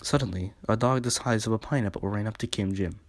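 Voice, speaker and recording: Low-voiced man narrating a comic story. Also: quick pace, a little hiss or hum.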